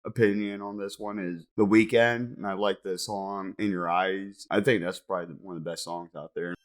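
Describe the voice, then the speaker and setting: A man co-hosting a casual podcast chat, excitable and quick to laugh, his voice jumping around as he gets worked up. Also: low-pitched. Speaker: podcast co-host.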